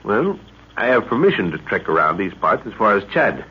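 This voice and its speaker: An actor playing a seasoned expedition leader and father in an old radio drama, speaking with deliberate authority. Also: fairly low pitch, very animated. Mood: measured, determined. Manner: authoritative, deliberate, thoughtful hums. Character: seasoned, commanding expedition leader and father, middle-aged or older. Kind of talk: 1940s radio acting. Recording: noisy, dull old radio recording.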